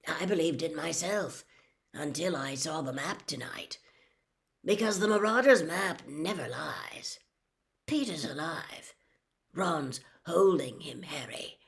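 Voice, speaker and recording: A woman voicing a calm male wizard teacher in an audiobook, speaking in a quiet, measured, patient manner with firm authority. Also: low-pitched, vocal fry, heavily acted. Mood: earnest.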